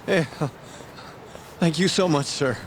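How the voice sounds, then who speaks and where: Actor as timid, high-pitched male shop vendor. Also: unhurried, slight background noise, close to an everyday voice. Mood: apologetic. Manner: stammering, deferential, nervous. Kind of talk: video game character acting.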